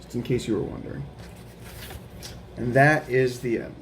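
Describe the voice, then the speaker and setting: A young adult man reading a children's picture book aloud at home, in a gentle storytelling way with chatty asides and questions for the listeners. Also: medium pitch, normal speed, gravelly, a little hiss or hum. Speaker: guest reader of a children's book. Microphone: camera mic.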